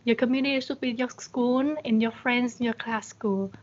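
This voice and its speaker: Young woman speaker, slightly high voice, conversational and questioning. Somewhat dull video-call audio. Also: steady tone, normal speed. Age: young adult. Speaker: guest speaker. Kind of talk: conversational motivational talk. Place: indoors on a group video call.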